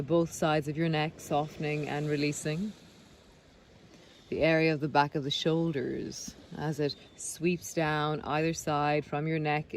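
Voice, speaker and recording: A man leading a guided body scan meditation, speaking gently and unhurriedly with pauses between phrases. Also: on the high side. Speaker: meditation guide, breath coach. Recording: clear background noise of sea sounds. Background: sea sounds.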